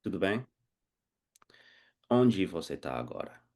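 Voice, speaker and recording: A man acting as host and teacher, asking slow, simple questions in a casual oral interview. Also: low voice, sing-song, a little mellow.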